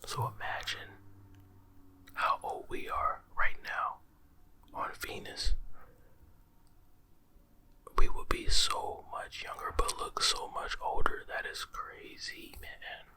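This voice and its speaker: A man whispers close and slow, his breathy voice crisp and bright at the edges. He keeps it slangy and playful, pausing to marvel at each fact and repeating words for effect. The whisper swings loosely up and down rather than staying flat. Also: very high voice. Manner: amazed reactions between facts. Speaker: ASMR creator. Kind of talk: whispered ASMR fact telling.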